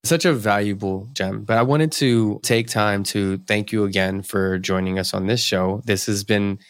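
Low-voiced male host, dry close sound. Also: moderate pace, no room sound. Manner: reflective, long-winded questions. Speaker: podcast host. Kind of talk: interview hosting.

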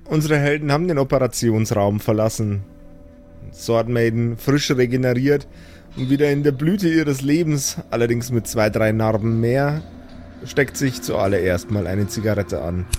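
A man's voice: medium pitch, normal speed, darkish tone, faint music behind. A man improvising story narration and banter as a game master on a podcast.

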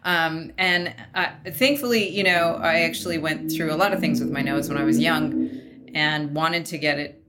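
A woman from Canada with a slightly low voice. She speaks as a panelist in casual discussion, reflective and a little halting as she works through her thoughts. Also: very noisy. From Vancouver, Canada. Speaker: podcast panelist.

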